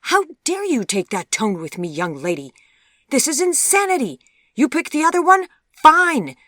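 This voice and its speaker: A woman's voice: sits high, big pitch swings, moderate pace, a bit bright, dry recording, studio quality, pitched above the performer's own voice. A woman voicing a stern, indignant middle-aged mother in a storybook, scolding her daughter in formal, emphatic words. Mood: outraged.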